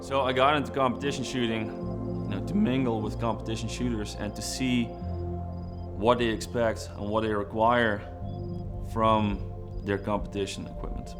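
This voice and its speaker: Lower-voiced Belgian-born man over guitar music. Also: moderate pace. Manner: relaxed, reflective, with frequent fillers and restarts. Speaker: trigger design engineer. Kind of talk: documentary-style personal narration. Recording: music bed underneath.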